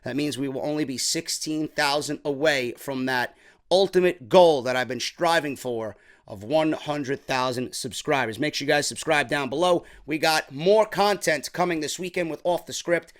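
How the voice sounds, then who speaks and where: A young man from New York, chatty and slightly hoarse from a sore throat, reviewing a wrestling show in a casual vlog. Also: a little high, normal speed. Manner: chatty, keeps calling viewers "man".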